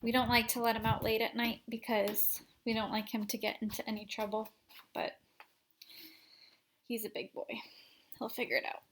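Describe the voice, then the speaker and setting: A woman reading a children's book aloud at home, slow and expressive, with chatty asides. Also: fairly high pitch, slight background noise. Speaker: reader.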